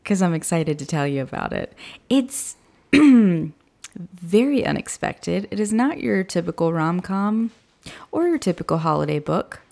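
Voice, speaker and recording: A woman co-hosting a book podcast, with a slightly raspy voice, talking casually and laughing often. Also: on the low side, very animated, unhurried, a little mellow. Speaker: podcast co-host. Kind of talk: casual chat, book review.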